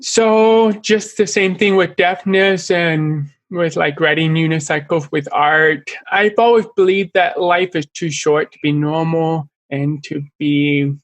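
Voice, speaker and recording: A man's voice: on the high side, steady tone, dry recording. Earnest young man with a deaf accent. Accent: deaf speaker's accent. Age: young adult. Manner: earnest, open, at times halting speech. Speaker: interview guest, artist. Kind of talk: casual interview conversation.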